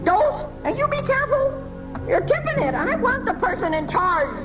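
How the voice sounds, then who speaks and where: A woman's voice: very high-pitched, sing-song, normal speed, low-quality recording. An actress playing an indignant old maiden lady in a live radio drama, haughty, scolding and theatrical. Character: indignant, feisty old maiden lady reclaiming her own body. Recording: home-taped 1980s radio broadcast, music behind.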